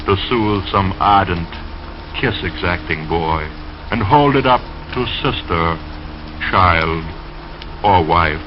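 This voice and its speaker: Male old-time radio reader, measured and unhurried, poetic delivery, muffled lo-fi vintage sound with music behind. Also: lowish voice, noisy background. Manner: solemn, measured, lyrical delivery. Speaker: radio announcer reading poetry and sponsor messages. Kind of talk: 1930s radio poetry reading and narration. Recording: dull lo-fi vintage radio recording. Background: dreamy music.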